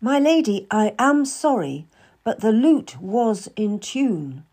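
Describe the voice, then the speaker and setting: A woman's voice: a little high, big pitch swings, slow pace, a little mellow, breathy edge, exaggerated voice. A woman voicing a young female court musician who protests in a strained, pleading voice.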